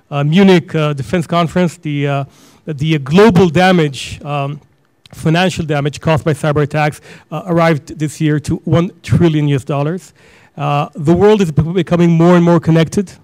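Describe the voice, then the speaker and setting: A man's voice: a little high, darkish tone, no room sound, poor audio. Hesitant man speaking on a panel. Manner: hesitant, many fillers and restarts. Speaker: panelist lawyer. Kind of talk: conference panel talk. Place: conference stage before an audience. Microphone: handheld microphone.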